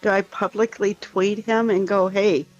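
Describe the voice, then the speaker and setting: A woman's voice: mid-range voice, steady tone, a little slow, highs cut off, faint music behind. A woman co-hosting a casual chat, hesitant and full of ums, laughing often. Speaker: co-host.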